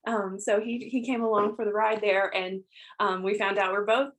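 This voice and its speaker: A woman chats through her introduction on a video call, filling pauses with ums. Her pitch sits in the middle of the range. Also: level tone, fairly bright tone. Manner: chatty, frequent ums, light laughs. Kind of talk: round table introduction.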